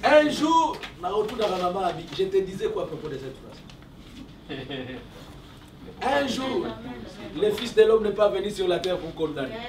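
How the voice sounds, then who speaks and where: A man preaching with a fairly high, emphatic voice, repeating his key phrases to the room. He sounds distant in an echoey hall. A congregation answers him around the sermon. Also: sing-song, moderate pace, pauses often. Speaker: prophet and preacher. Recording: echoey room, distant mic, crowd responses. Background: congregation answering amen.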